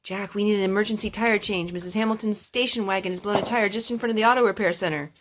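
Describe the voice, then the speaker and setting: A woman voicing a brisk garage receptionist making announcements in a storybook. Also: mid-range voice, steady tone, slight background noise, lightly acted. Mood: brisk announcing.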